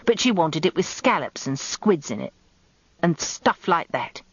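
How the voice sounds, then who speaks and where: Actress as a grumbling, gasping housekeeper, swooping intonation. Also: female voice, fairly low pitch, very animated, measured pace, no room sound, heavily acted. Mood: scornful, indignant. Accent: English. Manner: breathy grumbling, blunt. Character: heavy, gasping working-class housekeeper, resentful and contemptuous. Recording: dull lo-fi radio recording.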